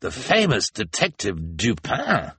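A man's voice: on the low side, wildly swooping pitch, takes it slowly, fairly bright tone, slightly rough, dry recording, pitched above the performer's own voice, exaggerated voice. A man voicing a naive, easily impressed townsman in a storybook, in a simple, wondering way.

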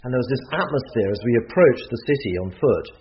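A man's voice: mid-range voice, lively intonation, fast talker, lo-fi sound, slight background noise. An English man, an author, talking enthusiastically and chattily while cooking in a home kitchen. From England. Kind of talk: casual interview talk while cooking.

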